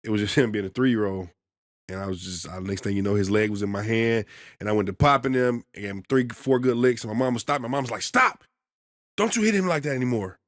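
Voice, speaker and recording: A man in his thirties co-hosting a podcast, telling stories in a loud, commanding, emphatic way. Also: fairly low pitch, brisk, no room sound, clean studio sound. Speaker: podcast co-host. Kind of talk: casual podcast chat.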